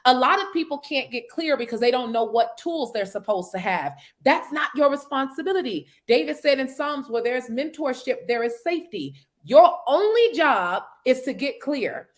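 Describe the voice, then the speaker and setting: A woman in her thirties talks like a preacher about success and mindset, with a slightly high voice and firm emphasis. She often drops in "come on" and "mm" to back up what is said. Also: expressive, normal speed, hardly any pauses. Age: 30s. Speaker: network marketing mentor. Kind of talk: motivational casual chat.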